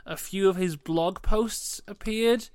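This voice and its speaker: A man's voice: sits high, slow, a little mellow, smooth, no room sound. A young man co-hosting a casual podcast chat, leading through the news and teasing his co-host with dry humour.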